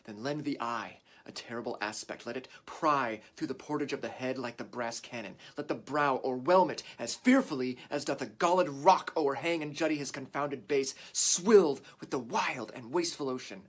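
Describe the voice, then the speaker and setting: An actor playing a warrior English king rousing his troops in a declamatory, deliberate Shakespearean stage monologue. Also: medium pitch, lightly acted. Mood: fierce, urging. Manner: declamatory, deliberate, swinging from hushed to shouted.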